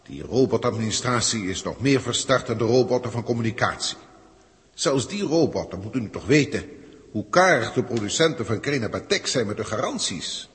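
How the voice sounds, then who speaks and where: A male actor plays a haughty, irritable alien official of high rank in a vintage radio play. He speaks in pompous, precise, bureaucratic phrases at a middle pitch. Long hall reverb surrounds the voice. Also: lively intonation, fairly quick, fairly bright tone, natural voice. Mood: irritated, disdainful. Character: haughty, irritable senior alien overseer judging primitive humans. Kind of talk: 1960s science-fiction radio play acting.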